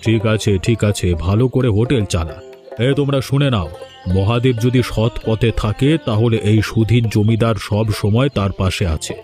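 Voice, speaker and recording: A man's voice: on the low side, moderate pace, warm tone, soft background music, natural voice. A man with a West Bengal accent voicing a benevolent, self-important village landlord in a cartoon, speaking with patronizing authority. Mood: patronizing approval. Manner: patronizing, approving, declamatory. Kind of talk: cartoon voice acting.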